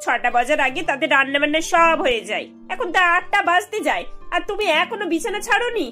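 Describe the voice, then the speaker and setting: A cartoon old village aunt with an extremely high, sharp voice. She nags and complains sarcastically, demanding and hard to please, over faint music. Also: lively intonation, a little fast, full character voice. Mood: scolding. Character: scolding, demanding elderly village aunt-in-law. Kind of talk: animated cartoon dialogue.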